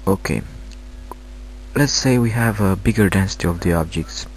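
A man with a Romanian accent, not a native English speaker, halting through a screen-recorded software tutorial with many fillers. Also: sits low, a little hiss or hum, low-quality recording. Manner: hesitant, halting, filler-heavy. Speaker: tutorial maker.

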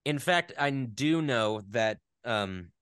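A man's voice: medium pitch, animated, takes it slowly, a bit bright, clean tone, no echo, studio quality. A man, a voice actor, chatting casually as an interview guest, telling stories in a joking, self-deprecating way.

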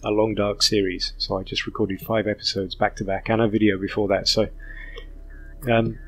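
A hoarse man hosting a casual gaming commentary, rambling with many ums. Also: on the low side, flat delivery, moderate pace, fairly bright tone. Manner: rambling, self-interrupting, full of ums. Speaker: gaming channel host. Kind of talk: casual let's play commentary.

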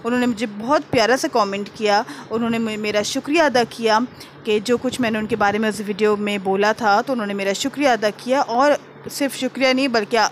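A woman's voice: medium pitch, slight background noise. A woman with an Urdu-speaking Pakistani accent, hosting a casual home vlog and chatting to her viewers while cooking. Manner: chatty and warm, filler-heavy "jī". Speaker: vlogger, channel host. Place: home kitchen and living room, indoors.

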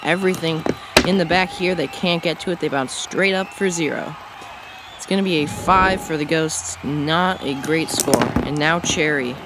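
A man giving play-by-play commentary on a marble bowling event, excitable and rising on the big moments. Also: fairly high pitch, normal speed. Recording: loud background noise.

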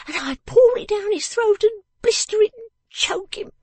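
Shrill, bossy little scold. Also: female voice, lively intonation, moderate pace, darkish tone, no room sound. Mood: brooding, vengeful. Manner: emphatic, snapping, imperious. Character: sharp-tongued, bossy, vengeful young woman, scolding like a shrew. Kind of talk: dramatised storybook reading.

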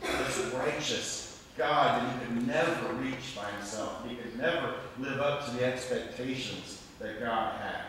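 A middle-aged man, a pastor, preaching earnestly and deliberately from a church lectern. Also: medium pitch, fairly bright tone. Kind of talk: sermon. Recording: echoey church, distant mic, faint noise.

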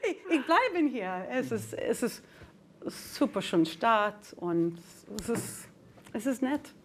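A middle-aged woman with a Texan accent, native English, chats as a singer on a TV studio set. Her voice sits high and her speech is slow and halting as she hunts for German words, with frequent laughter. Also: very animated. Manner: laughs often, searches for words. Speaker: singer, talk show guest. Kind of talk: casual chat in halting German.

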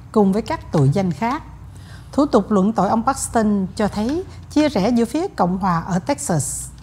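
A woman anchoring a TV news bulletin in a studio, reading in an even, formal way. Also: mid-range voice, a little hiss or hum.

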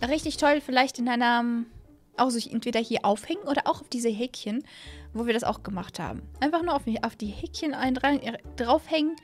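Hohe, aufgeregte junge Frauenstimme. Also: female voice, a little high, fairly quick. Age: young adult. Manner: excitable, repeats phrases, stumbles over words. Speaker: gaming video host. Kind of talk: casual gameplay commentary. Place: small room at a gaming setup, indoors. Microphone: close studio mic on boom arm.

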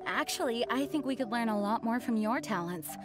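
A woman voices a dutiful young female knight commander in an anime game. She sounds earnest, courteous and composed, in a fairly high voice, with music behind her. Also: expressive, a little fast, natural voice. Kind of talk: anime video game cutscene acting.

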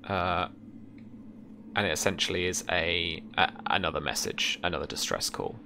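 A young man improvising tabletop game narration as game master, halting and thinking aloud. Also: sits low, creaky, background noise. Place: small room at home, indoors.

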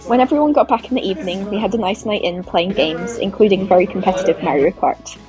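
A woman's voice: medium pitch, fast, over music, poor audio. A woman in her twenties, a PhD student, narrating a vlog and chatting casually. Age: 20s.

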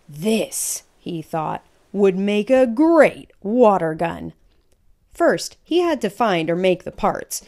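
A woman reading a children's picture book aloud in an expressive, emphatic storytelling manner. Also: fairly high pitch.

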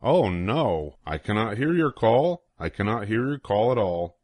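Insistent storybook phone caller done by an older man, emphatic, lively swoops, dry recording. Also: fairly low pitch, measured pace, no room sound. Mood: let down. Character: storybook caller on a telephone, insistent then let down.

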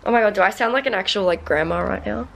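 A woman's voice: mid-range voice, fast talker, vocal fry, a bit bright, slight background noise. A woman, chatting casually with a friend on a vlog. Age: 20s. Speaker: vlogger's friend and training partner. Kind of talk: casual chat. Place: small motel room. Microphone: handheld vlog camera.

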